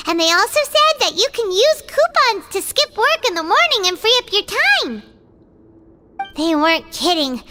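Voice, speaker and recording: A woman voicing a tiny, chirpy fairy-like girl companion in a video game, who bubbly talks about herself in the third person. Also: very high voice. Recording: game audio with loud background noise.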